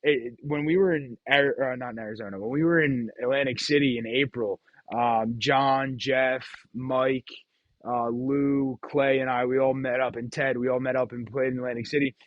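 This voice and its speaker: A man's voice: mid-range voice, fairly even intonation, normal speed, no echo, lo-fi sound. A man chatting casually and telling gambling stories as the host of the show. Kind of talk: casual chat, storytelling about craps.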